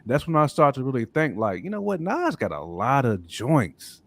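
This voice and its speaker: A man's voice: medium pitch, huge pitch swings, moderate pace, vocal fry. A man chatting casually as a hip hop podcast panelist, slangy and emphatic in the way he makes his points.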